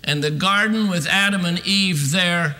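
Male preacher, 60s, very high forceful voice, emphatic sermon delivery, recorded in a reverberant hall. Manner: emphatic, declamatory delivery with drawn-out stressed phrases. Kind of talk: fervent sermon. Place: large church sanctuary, at a pulpit. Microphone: podium microphone.

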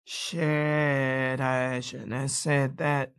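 A woman playing a bitter, blunt, hard-drinking dying woman in an audio play, with gruff, curt, folksy delivery. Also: a bass voice, level tone, extremely slow, heavily acted. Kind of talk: naturalistic audio play acting.

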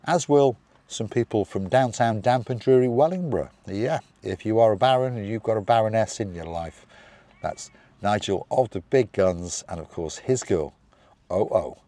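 Deep-voiced chatty man vlogging. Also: normal speed, no room sound. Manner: chatty, playful shout-outs giving viewers mock noble titles. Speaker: vlog host. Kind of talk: casual chat to camera.

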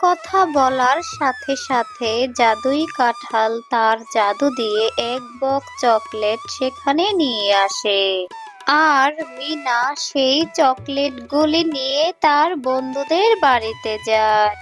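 Slow, high-voiced woman storyteller. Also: expressive, a bit bright. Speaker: narrator. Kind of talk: scripted children's story narration. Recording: faint music behind the voice.

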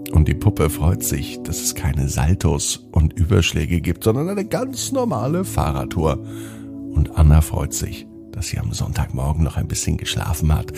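A man telling a scripted bedtime story to children as podcast host, in an expressive storytelling manner. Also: a bass voice, creaky. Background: music.

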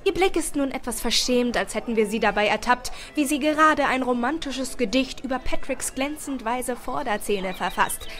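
A woman reading a first-person story aloud as an expressive audiobook narrator. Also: high-pitched, normal speed, few pauses. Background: background music.

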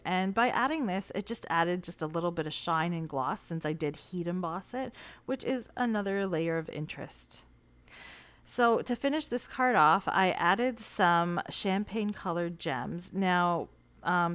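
A woman narrating her cardmaking tutorial in a relaxed, chatty way with small fillers. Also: fairly low pitch, no echo. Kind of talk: voice-over craft tutorial narration.

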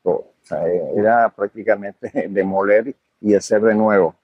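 An older hotel owner talks in a low, slightly husky voice, pausing and restarting as he answers. The sound is dry and dull, like a lo-fi recording. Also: no echo. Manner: hesitant, full of fillers and restarts. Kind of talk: conversational interview answers.

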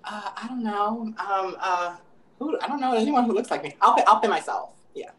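A person in their twenties, a wrestler and dancer from New Jersey, talking animatedly and laughing over a video call. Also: male voice, high-pitched, normal speed, rough recording. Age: 20s. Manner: animated, laughing, acts out quoted voices. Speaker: guest, professional wrestler and dancer. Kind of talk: casual interview on a video call.

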